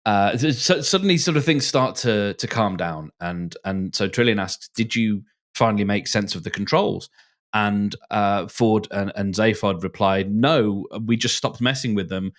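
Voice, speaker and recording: Hesitant, reflective man with a lowish voice. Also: normal speed. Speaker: podcast co-host. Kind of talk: casual discussion and retelling.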